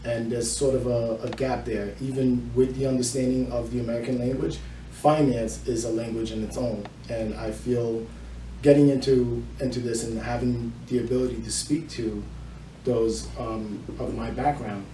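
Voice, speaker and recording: An echoey, slightly noisy room recording of a man being interviewed. He is middle-aged and has a mellow voice. He explains things at length as a loan officer. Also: medium pitch, steady tone, moderate pace. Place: indoor room with small audience. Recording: echoey room, distant mic, faint noise.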